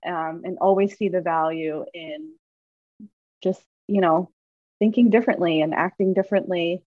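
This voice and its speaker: A woman's voice: medium pitch, no room sound. A woman talking as a podcast guest, thoughtful and earnest, often restarting her sentences. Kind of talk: reflective conversational chat. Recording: dull, dry sound.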